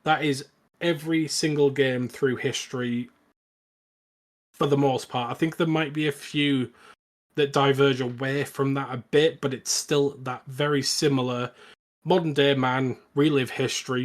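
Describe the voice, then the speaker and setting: A man's voice: mid-range voice, fairly even intonation, unhurried. A man hosting a podcast, chatting casually as he reviews films. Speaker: podcast host.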